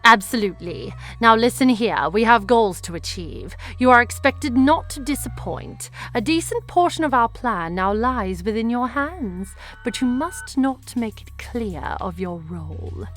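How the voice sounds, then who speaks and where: A woman's voice: fairly high pitch, animated, unhurried, hardly any pauses, fairly bright tone, soft background music. A woman playing a commanding, scheming villainess in an audio drama, imperious and theatrical. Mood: stern command. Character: commanding, scheming villainess running a deadly game.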